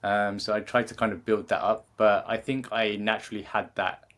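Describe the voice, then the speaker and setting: A man in his thirties with a British accent speaks in a very low voice. He talks loosely as an interview guest, stopping and restarting often, with plenty of fillers along the way. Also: flat delivery, moderate pace, fairly bright tone. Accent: British English. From England. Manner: hesitant, many fillers and restarts. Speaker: guest, creativity coach. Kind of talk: casual interview conversation.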